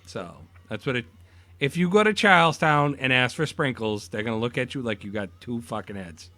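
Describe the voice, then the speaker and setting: A young man chatting casually as a co-host, animated, swearing freely, full of fillers and restarts. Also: medium pitch, moderate pace. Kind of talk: casual chat.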